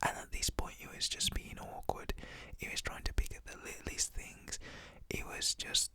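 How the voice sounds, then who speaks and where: A man's voice: moderate pace, bright, forceful tone, dry recording. A young British man whispers a casual, slangy, rambling story in an ASMR style.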